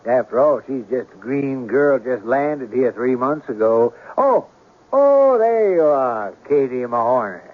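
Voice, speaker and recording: An actor playing a genial, curious, hospitable man with a husky voice in a 1970s radio drama. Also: medium pitch, very animated, measured pace. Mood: genial, inviting. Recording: tinny 1970s radio recording.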